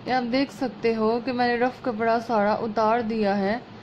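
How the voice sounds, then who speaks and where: A woman with a sore, slightly hoarse throat, chattily explaining a home sewing repair step by step. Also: fairly high pitch, fairly even intonation, measured pace. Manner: chatty, homely, explaining as she works. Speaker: sewing channel host. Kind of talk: casual step-by-step sewing tutorial. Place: at home over a work table. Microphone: phone camera over hands. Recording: muffled lo-fi phone audio, faint room noise.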